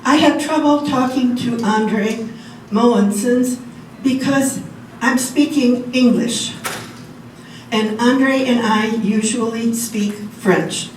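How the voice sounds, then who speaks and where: A woman's voice: fairly high pitch, slow pace, a little mellow. A woman, a program coordinator, giving a welcome and introducing a speaker to a large class. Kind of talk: formal spoken welcome and introduction. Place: large room, group class. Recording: very echoey, distant, noisy room.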